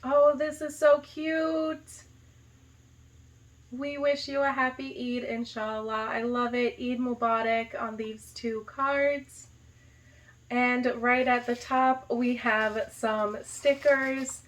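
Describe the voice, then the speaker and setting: A young woman from the United States opens a gift box in a relaxed vlog. Her voice is a little high and she talks slowly, lingering on her delighted reactions. She gushes over every item, tossing in plenty of casual "like". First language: not Arabic. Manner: gushing, enthusiastic, lots of "like" and "so cute". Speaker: vlogger, high school teacher.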